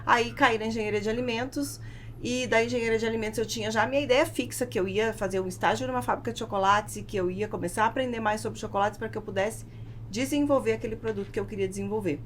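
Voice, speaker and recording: A woman with a Brazilian accent telling a personal story as an interview guest, in an emphatic and deliberate way. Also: a little high, fairly even intonation. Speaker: podcast guest, food business founder. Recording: clear background noise, room ambience.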